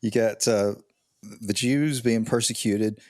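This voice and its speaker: A man's voice: on the low side, fairly even intonation, slow, darkish tone, no echo, studio quality. A man in his thirties co-hosting a podcast, chatting with wry remarks. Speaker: podcast co-host. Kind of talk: casual chat.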